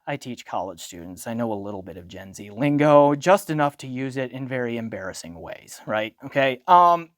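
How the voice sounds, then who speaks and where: A man's voice: medium pitch, animated, moderate pace, few pauses, no echo. A middle-aged man giving an unscripted product review in a conversational way, thinking aloud and posing questions to himself. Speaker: audio gear reviewer.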